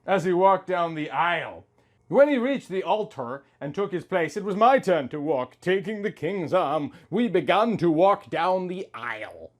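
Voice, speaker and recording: A man in his thirties reading a story aloud in a melodramatic, mock-sentimental comic style, swinging between hushed and loud. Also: sits high, very animated, measured pace. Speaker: comic host reading out fan fiction.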